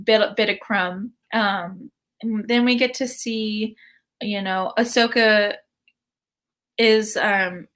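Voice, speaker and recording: A woman hosting a casual commentary video, chatty and full of ums and restarts, with a voice she calls high-pitched. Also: a bit bright. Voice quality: says her voice is high-pitched. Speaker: host.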